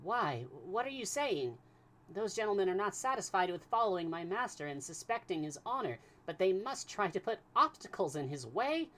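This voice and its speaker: Excitable young French valet, pitched high with a changed timbre, loud and lively, done by a male reader. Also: moderate pace. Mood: indignant.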